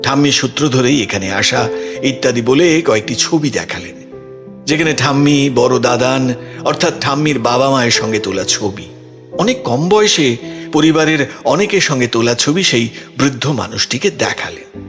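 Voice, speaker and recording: A man's voice: medium pitch, animated, normal speed. A man reading scripted narration for a suspense audio story as its narrator. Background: background music.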